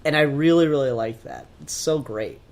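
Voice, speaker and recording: A man co-hosting a casual fan discussion, giving opinions emphatically and haltingly as he forms his thoughts. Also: mid-range voice. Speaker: podcast co-host.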